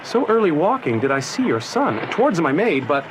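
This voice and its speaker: An actor playing an earnest, loyal young gentleman in classical verse radio drama, articulate and declamatory. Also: on the high side, big pitch swings, a little fast. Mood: earnest reporting. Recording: noisy drama recording.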